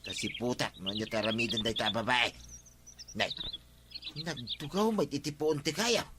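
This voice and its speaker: A man's voice: medium pitch, moderate pace, very noisy, lightly acted. A man playing a grumbling village woodseller in a comedy radio drama, exclaiming and complaining with an Ilocano accent. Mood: puzzled, wary. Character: grumbling working village man who sells firewood.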